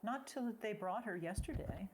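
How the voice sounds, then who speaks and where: A woman's voice: mid-range voice, expressive, normal speed, a little mellow, a little hiss or hum, close to an everyday voice, low-quality recording. A woman playing a reserved, kindly small-town wife in a stage drama, speaking in a proper and hesitant way. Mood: polite, unsure. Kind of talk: stage drama dialogue acting.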